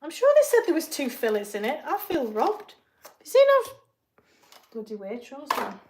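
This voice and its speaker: English lady coach rambling on a live stream in her kitchen, highish voice, bit of hiss behind. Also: huge pitch swings, normal speed, a little mellow. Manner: chatty, rambling, asides while cooking. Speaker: fitness coach. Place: home kitchen.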